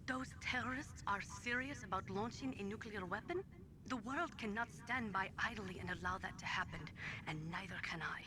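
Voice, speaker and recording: A woman playing a serious, steely nuclear weapons analyst in a video game, speaking formally and deliberately. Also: mid-range voice, crowd noise, natural voice. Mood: earnest, resolute.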